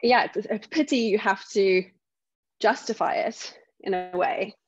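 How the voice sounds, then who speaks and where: A woman from South Africa with a softened South African accent, a guest telling stories in a casual interview and acting out what other people say. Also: medium pitch, a little slow, husky, no echo, lo-fi sound. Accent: South African, softened by years in the UK. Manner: animated storytelling, acts out other people's remarks. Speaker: guest speaker.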